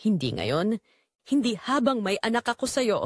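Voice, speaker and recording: A woman's voice: on the high side, very animated, normal speed, no room sound, studio quality. A woman voicing a possessive, manipulative older mother in an audio drama, insistent and calculating.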